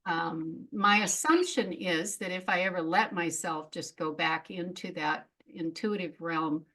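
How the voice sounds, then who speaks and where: A middle-aged woman with a fairly low voice talks casually as an interview guest. She is frank and reflective and laughs at herself now and then. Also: measured pace, bright, forceful tone. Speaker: memoirist guest.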